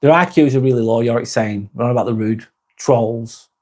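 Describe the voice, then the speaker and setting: A man's voice: medium pitch, brisk. Man, casual vlogger, loose and unscripted, mid voice. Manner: relaxed, rambling, frequent restarts and swearing. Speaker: live stream host. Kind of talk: casual live chat reading comments.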